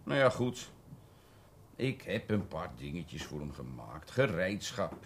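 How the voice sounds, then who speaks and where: An actor playing a cagey, boastful small-time ex-burglar in a Dutch radio drama, evasive, colloquial and grudgingly deferential. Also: male voice, deep voice, very animated, slow pace, a little hiss or hum, full character voice. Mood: defensive. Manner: evasive, colloquial, hesitant, self-justifying.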